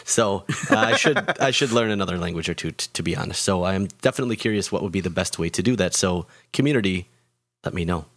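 A relaxed American man with a fairly low voice, chiming in with short questions. The recording is dry and close. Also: fast talker, no room sound. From United States. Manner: relaxed, brief interjections and questions. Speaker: podcast co-host. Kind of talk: casual chat.

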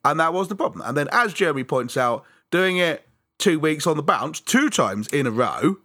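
A man co-hosting a casual podcast chat, self-deprecating and quick to laugh, with halting restarts. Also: on the high side, big pitch swings, a bit bright, no room sound, studio quality. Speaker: podcast co-host.

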